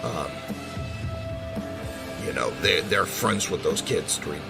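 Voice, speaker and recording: A brooding, bullied teenage son played by a man in an audio drama. His voice is very deep and creaky, and he explains himself in halting, earnest phrases, defensive and protective of his family, with music underneath. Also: expressive, exaggerated voice. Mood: hurt, confessing. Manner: halting, hesitant, earnest confession. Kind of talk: amateur audio drama roleplay.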